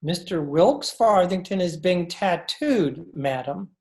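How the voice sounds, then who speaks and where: Casting: actress as stuffy male butler for radio comedy, low register, formal, pompous, expressive inflection. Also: slow. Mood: stuffy, polite. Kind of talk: old-time radio comedy acting.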